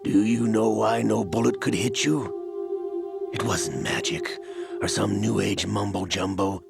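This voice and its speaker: An actor playing a smug, cruel older male villain in a video game, taunting theatrically in a gravelly voice. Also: on the low side, fairly even intonation. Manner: slow, taunting, theatrical delivery. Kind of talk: video game cutscene acting. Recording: dramatic music under the voice.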